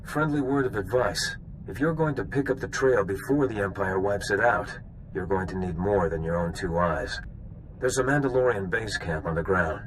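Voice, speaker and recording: Man playing low-voiced, wry veteran bounty hunter. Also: moderate pace, slight background noise, close to an everyday voice, rough recording. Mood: explaining, cautionary. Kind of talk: video game acting.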